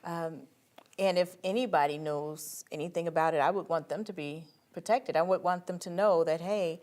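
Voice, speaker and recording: A woman's voice: lowish voice, steady tone, moderate pace, a little mellow. A woman in her late twenties, the show's producer, speaking sincerely in casual talk. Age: 20s. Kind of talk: casual talk show chat.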